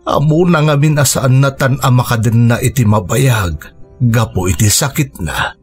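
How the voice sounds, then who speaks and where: A man's voice: medium pitch, normal speed, a little mellow, faint music behind, close to an everyday voice. An actor playing a grieving, heavy-hearted father consoling his child in a radio drama. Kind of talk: Ilocano radio drama acting.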